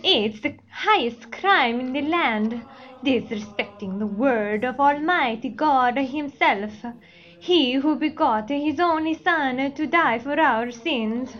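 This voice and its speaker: Woman playing a high-voiced, pompous Pope. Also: lively intonation, takes it slowly. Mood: solemn. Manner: pompous, formal. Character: stern, pompous male Pope presiding over a trial. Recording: dull, lo-fi audio.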